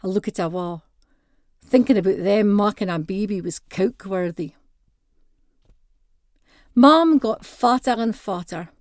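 Female story reader, North-East Scottish accent, mid-pitched, expressive dialect narration, very little room sound. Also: measured pace, long pauses, no echo, studio quality. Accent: North-East Scottish (Doric). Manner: expressive, acts out dialogue in dialect. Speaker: author reading own short story. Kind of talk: scripted narration, first-person storytelling reading.

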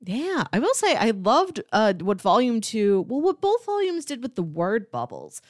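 A woman's voice: fairly high pitch, huge pitch swings, normal speed, no echo, clean studio sound. A woman co-hosting a podcast, chatting casually about books and acting out bits as she goes. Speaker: podcast co-host discussing comics. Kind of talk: casual chat, book review.

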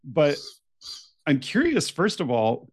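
A man's voice: fairly high pitch, wildly swooping pitch, slow pace, husky. A man hosting a casual interview, speaking in an encouraging, curious, conversational way. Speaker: podcast host.

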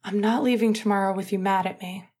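A tall young male hockey player, gentle and pleading, as a woman narrator puts him on. He talks softly with a breathy, slightly raised voice. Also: a little high, measured pace, pitched above the performer's own voice. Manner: soft, coaxing.

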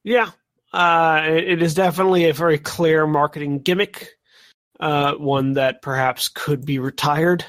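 A man hosting a casual board game review podcast, speaking haltingly and often repeating his words as he goes. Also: on the high side, fairly even intonation, fairly bright tone, no echo, studio quality. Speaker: podcast host.

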